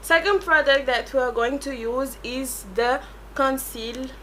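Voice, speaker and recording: Slow-paced French woman, rambling makeup chat. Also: sits high, fairly bright tone, a little hiss or hum. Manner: rambling, searching for English words. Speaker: beauty YouTuber. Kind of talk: casual makeup tutorial chat.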